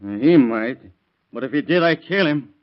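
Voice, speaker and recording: A man's voice: mid-range voice, expressive, moderate pace, lightly acted. A man playing a hot-tempered, blunt young father in a 1930s radio crime drama. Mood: blunt, grumbling. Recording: dull lo-fi vintage radio recording.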